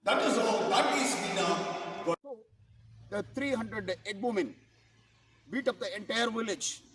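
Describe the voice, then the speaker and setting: A man tells a story for children in a halting way, repeating himself and explaining words as he goes. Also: high-pitched, a bit bright. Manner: halting, repeats and rephrases for young listeners. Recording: very echoey hall, distant mic.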